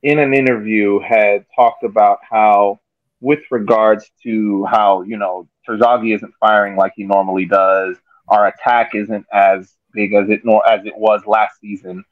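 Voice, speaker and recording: Man, fairly low voice, halting casual sports analysis, dry close sound, video call. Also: fairly even intonation, dry recording, phone-quality sound. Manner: halting, repeats words as he thinks. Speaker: sports podcast panelist. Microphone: video call microphone.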